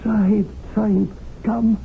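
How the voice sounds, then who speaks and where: An actor playing a dignified Indian hunting guide, formal and privately scornful, speaking in archaic measured phrases in a radio drama. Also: male voice, sits high, flat delivery, gravelly, a little hiss or hum, exaggerated voice. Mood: deferential. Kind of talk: 1970s radio drama narration and acting. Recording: narrow-band old radio, music behind.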